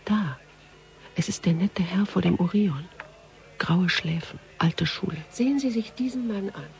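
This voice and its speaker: An actress playing a sharp-minded, pensive woman investigator in a radio crime drama, speaking deliberately. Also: fairly low pitch, faint music behind. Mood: pensive, alert. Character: brooding, sharp-minded female investigator on a case.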